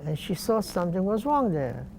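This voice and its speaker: An older man from Poland, speaking slowly and deliberately with pauses in an oral history interview. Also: high voice, very animated, creaky, darkish tone, slight background noise, poor audio. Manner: slow, deliberate, halting with pauses.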